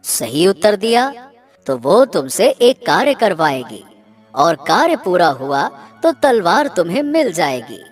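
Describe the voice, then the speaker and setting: Lady doing a cursed male swan for a kids cartoon, solemn n bossy, really drawn-out, swingy delivery, music under. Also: lowish voice, very animated. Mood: solemn, instructing. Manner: slow, deliberate, dramatic. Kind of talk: animated children's story dialogue.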